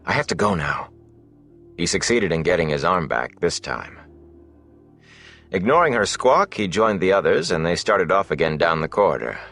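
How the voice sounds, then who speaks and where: Low-voiced male fantasy audiobook narrator. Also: big pitch swings, moderate pace, a bit bright, soft background music.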